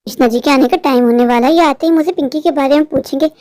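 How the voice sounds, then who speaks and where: A woman as a high-voiced scheming cruel stepmother. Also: rapid-fire, warm tone, dry recording, clean studio sound, natural voice. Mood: gloating, scheming. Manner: sarcastic, self-satisfied, dismissive. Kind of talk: melodramatic Hindi cartoon moral story.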